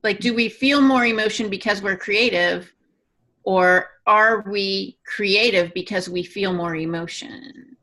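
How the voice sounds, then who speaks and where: A woman's voice: mid-range voice, a little slow, pushed and bright. A woman co-hosting a podcast in a casual, chatty style, putting questions to the others. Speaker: podcast co-host. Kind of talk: casual chat, asking questions.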